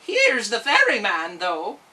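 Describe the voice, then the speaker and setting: A woman's voice: mid-range voice, wildly swooping pitch, slow pace, bright, forceful tone, recorded from a distance, heavily acted, low-quality recording. A woman voicing a tiny, cocky magical ferryman in a storybook reading. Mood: matter-of-fact.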